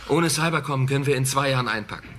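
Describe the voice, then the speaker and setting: An actor playing an eager, persuasive young tech company president in an audio drama, with a creaky voice. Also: male voice, mid-range voice, fast, fairly bright tone, slight background noise, close to an everyday voice. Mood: insistent. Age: young adult. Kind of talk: German audio drama acting.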